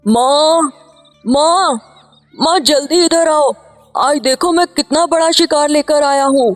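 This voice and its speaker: A woman's voice: high voice, slow pace, soft background music. Woman as an eager young hunter in long reverb. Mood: excited. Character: eager, naive young hunter, a dutiful son.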